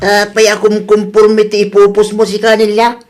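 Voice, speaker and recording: A man's voice: high voice, monotone, normal speed, darkish tone, faint music behind, natural voice. A sensible old Filipino husband and grandfather in a radio comedy drama, acted by a man. The delivery is dry and admonishing. He comes across as the chiding voice of reason.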